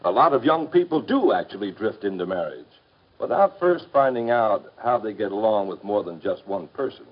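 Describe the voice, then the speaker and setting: A man acts out a reasonable middle-aged American dad from a 1950s classroom film. His intonation swings freely as he gives measured, easygoing guidance. The old soundtrack is dull and limited. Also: fairly low pitch, lively intonation, close to an everyday voice. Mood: calm, advising. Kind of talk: 1950s educational film acting.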